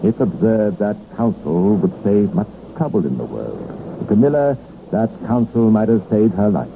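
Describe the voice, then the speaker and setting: A man narrating an old radio crime drama in a wry, measured, scripted storytelling manner. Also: fairly low pitch, very animated, vocal fry. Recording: tinny, lightly noisy old radio recording.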